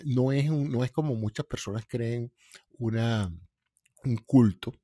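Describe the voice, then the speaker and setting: A man's voice: medium pitch, warm tone, slightly rough, dry recording, studio quality. A middle-aged man who hosts a science talk, explaining ideas patiently with everyday examples.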